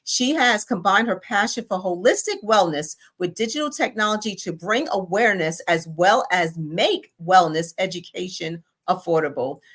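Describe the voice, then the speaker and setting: A woman's voice: on the low side, expressive, a bit bright. A woman co-host of a talk show reading out a prepared guest introduction. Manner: measured, scripted delivery.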